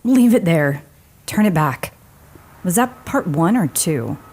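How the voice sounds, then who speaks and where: A woman's voice: lowish voice, sing-song, takes it slowly, a little mellow, exaggerated voice. A woman playing a blunt, matter-of-fact roommate in casual audio drama dialogue.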